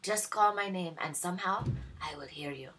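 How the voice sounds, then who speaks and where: A woman's voice: on the low side, moderate pace, a bit bright, a little hiss or hum, close to an everyday voice. A woman voicing a tender older motherly helper in a storybook, affectionate and reassuring. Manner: affectionate, soothing.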